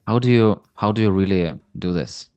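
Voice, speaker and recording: A man's voice: deep voice, normal speed, darkish tone, clear, resonant tone, dry recording, faint music behind. A man hosting a podcast interview, asking curious follow-up questions. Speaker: podcast host.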